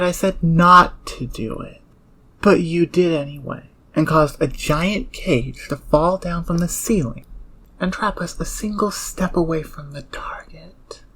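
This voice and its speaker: A prickly, brainy thief played by a woman with a low, breathy, rough-edged voice. She is blunt and proud, clipped in delivery, in an audio roleplay. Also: fairly bright tone. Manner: scolding, sarcastic, clipped. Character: irritable, brainy young female heist planner, blunt and proud. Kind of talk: ASMR audio roleplay acting.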